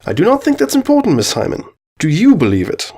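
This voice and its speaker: A man's voice: high-pitched, wildly swooping pitch, quick pace, warm tone, breathy edge, pitched above the performer's own voice, exaggerated voice. A man voicing a self-assured, theatrical detective with formal, declamatory delivery in a narrated story.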